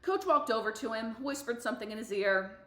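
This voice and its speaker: A woman reads a novel aloud for middle schoolers. Her voice is on the high side, and the delivery is expressive storytelling. The room around her is noticeably echoey. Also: a little fast. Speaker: book reader for middle schoolers.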